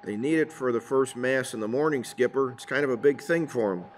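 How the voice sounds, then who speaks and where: A mild police detective acted by a woman speaks plainly in an amateur radio play. The voice is extremely deep for a woman. The delivery is earnest and understated. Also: brisk, a little hiss or hum.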